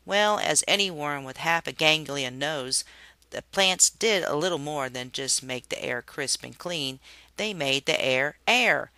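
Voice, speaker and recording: Very bright expressive storybook reader. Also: medium pitch, unhurried, no room sound, rough recording. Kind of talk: expressive children's read-aloud narration.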